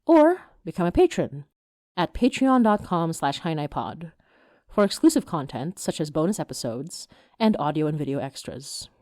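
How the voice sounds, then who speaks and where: A woman reads scripted podcast credits as an announcer. Her voice sits low for a woman and moves with plenty of melody, in a very dry recording. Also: very animated, moderate pace, mellow, no room sound. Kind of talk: scripted end credits and listener appeals.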